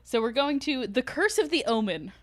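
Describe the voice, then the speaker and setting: A woman's voice: high voice, no room sound. A woman co-hosting a podcast, telling stories in a jokey, casually sweary chat style. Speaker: podcast co-host telling film legends.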